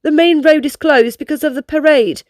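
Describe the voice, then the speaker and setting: A woman's voice: high voice, moderate pace, no room sound, clean studio sound, natural voice. A woman voicing a dramatic, hot-tempered female dragon from a children's storybook, pleading and demanding. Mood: upset pleading.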